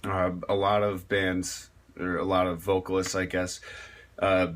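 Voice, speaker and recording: Slow, deep-voiced man explaining vocal technique. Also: completely flat delivery. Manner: rambling and halting, many fillers and restarts. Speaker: metal vocalist teaching screaming technique. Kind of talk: casual spoken tutorial.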